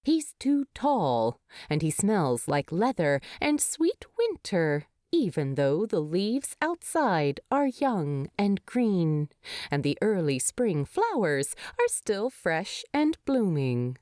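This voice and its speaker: A woman narrates a storybook tale at a slow, measured pace. Her pitch rises and falls dramatically from phrase to phrase, and the sound is dry and close. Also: big pitch swings, dark tone, no echo. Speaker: fan fiction narrator. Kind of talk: expressive scripted storybook narration.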